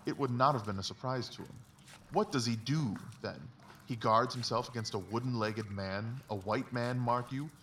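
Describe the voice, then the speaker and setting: A man's voice: medium pitch, a bit bright, close to an everyday voice. A confident Victorian detective acted by a man lays out his reasoning like a lecture, precise and emphatic. He sounds set back from the microphone, with faint noise behind him, in the manner of a dramatised audiobook. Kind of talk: dramatised literary audiobook dialogue. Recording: distant mic, faint background noise.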